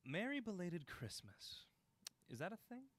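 A man's voice: sits high, very animated, unhurried, a bit bright, a little hiss or hum, heavily acted. A man playing a playful, unruffled friend in an audio drama, teasing and nonchalant with a slight stammer. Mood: playful, coaxing. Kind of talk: naturalistic audio drama acting.

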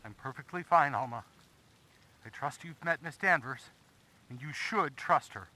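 A man playing a smooth-talking, quietly threatening schemer in an audio drama, measured and condescending. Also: a little high, no echo, poor audio. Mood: genial.

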